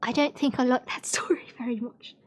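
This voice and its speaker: A woman reading fairy tales aloud on a livestream in an expressive storybook manner. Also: on the high side, fairly quick, in a whisper.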